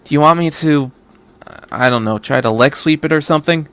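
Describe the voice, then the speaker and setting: A man's voice: on the high side, brisk, fairly bright tone, smooth, dry recording, lightly acted. A man voicing an anxious teenage boy pilot in a story, hesitant and incredulous as he questions. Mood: unsure.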